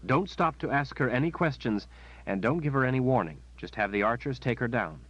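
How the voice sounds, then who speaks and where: A man voicing a commanding young noble woman, calm and steely, speaking in a gentle but firm manner. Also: medium pitch, a little fast, dry recording, highs cut off, voice pushed up. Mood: stern.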